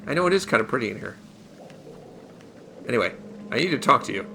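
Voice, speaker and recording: Gravelly man with a cold, gaming commentary. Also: mid-range voice, big pitch swings, fast, noisy background. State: fighting a cold. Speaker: gaming channel host. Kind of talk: casual let's play commentary. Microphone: USB desktop condenser microphone. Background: game music.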